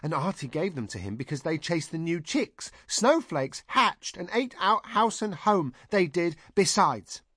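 A man voicing an excitable, simple-minded, childlike woman with shrill exclamations and rustic phrasing in an audiobook. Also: unhurried, hardly any pauses, breathy edge, dry recording, studio quality, exaggerated voice. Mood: eager chattering. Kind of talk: audiobook character voice.

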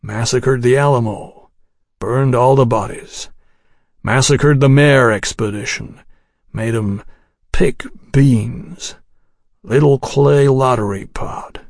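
A male narrator plays a bitter, menacing in an audiobook. He drawls very slowly and tonelessly in terse, dry phrases, recorded close and dry. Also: medium pitch, no echo. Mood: bitter, grim. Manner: terse, toneless, drawn-out delivery. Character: grim, menacing Texian man, weary and bitter.

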